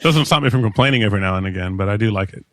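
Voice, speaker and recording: A man's voice: fairly low pitch, rapid-fire, no room sound. A man co-hosting a podcast, chiming in with brief, upbeat agreement. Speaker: podcast co-host. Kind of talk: casual podcast conversation.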